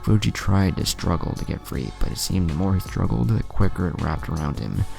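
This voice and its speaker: A man's voice: sits low, big pitch swings, fairly quick, vocal fry, over music. A man narrating anime fan fiction as a storyteller.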